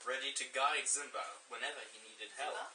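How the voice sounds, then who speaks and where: A woman's voice: extremely low pitch, brisk. A woman reading a children's story aloud to friends in a playful, giggly manner. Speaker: storybook reader. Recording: roomy, distant, noisy home recording.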